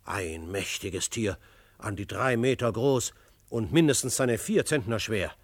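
A brave, composed adventurer telling his frontier story for a radio drama. His voice is fairly low and very dry, with measured literary phrasing. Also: lively intonation, no echo. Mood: urgent. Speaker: first-person narrator. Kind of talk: dramatic scripted narration.

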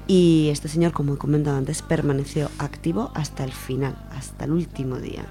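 A woman's voice: low-pitched, steady tone, fairly quick, over loud music. A woman from Spain presenting on radio, giving an informal, semi-prepared account in an explanatory way, restating points as she goes.

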